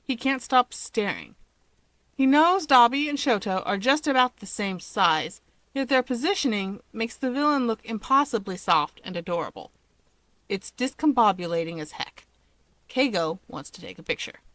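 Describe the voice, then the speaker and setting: A woman's voice: fairly high pitch, expressive, moderate pace, fairly bright tone, dry recording, low-quality recording. A woman who reads fan fiction aloud and chats informally to listeners as the host of her channel. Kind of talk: expressive storytelling and casual chat to listeners.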